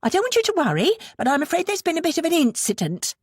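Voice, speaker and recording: A woman's voice: extremely high pitch, sing-song, fast, no echo, clean studio sound, voice pushed up. A woman voicing an earnest, worried female schoolteacher in an audiobook, polite but insistent. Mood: urgent, worried.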